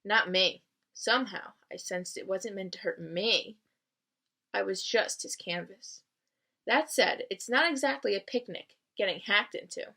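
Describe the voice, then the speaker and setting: A woman's voice: mid-range voice, unhurried, creaky, bright, forceful tone. Woman reading a storybook aloud, teacherly. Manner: teacherly, explaining the story simply. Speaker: read-aloud reader. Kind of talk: expressive storybook read-aloud with explanatory asides.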